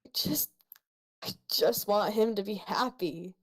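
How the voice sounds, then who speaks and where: A woman's voice: medium pitch, measured pace. A woman with a mid-range voice gives casual running feedback as a co-host. She is blunt but playful and tends to interject. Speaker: co-host giving feedback on fan fiction. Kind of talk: casual commentary and critique.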